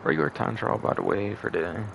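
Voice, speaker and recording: A man's voice: lowish voice, sing-song, brisk, gravelly. A man live streaming a video game with casual, rambling commentary, muttering asides and swearing as he goes. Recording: faint background noise.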